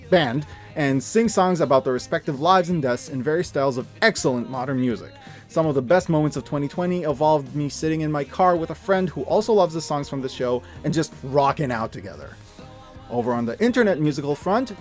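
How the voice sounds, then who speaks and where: Man, slightly high voice, scripted video review, effusive, emphatic, informal wording, music in the background. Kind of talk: enthusiastic scripted review narration.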